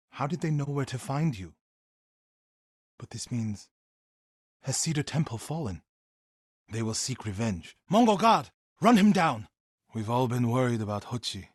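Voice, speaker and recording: A man playing a devout, grieving warrior monk in a video game, earnest and intense, with a husky voice. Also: mid-range voice, no room sound, studio quality. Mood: urgent, commanding. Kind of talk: dramatic video game acting.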